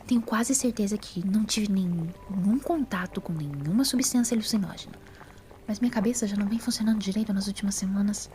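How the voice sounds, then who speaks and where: A woman voicing a quirky, energetic female time traveller in an audio story, talking in a quick, rambling way. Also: medium pitch, noisy background, natural voice. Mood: nervous, musing. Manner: quick, rambling, self-reassuring. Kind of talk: audio drama fan story.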